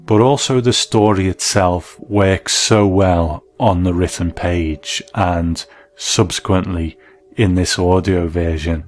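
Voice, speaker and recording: A man's voice: low voice, darkish tone. A man hosting and reviewing in a scripted documentary narration style. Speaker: host and reviewer.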